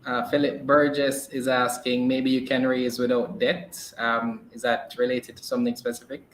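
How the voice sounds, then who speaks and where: A man hosts an investor call, reading out questions in a medium-pitched voice. The sound is roomy and echoey, with some faint noise behind him. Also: little pitch movement, moderate pace. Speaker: host.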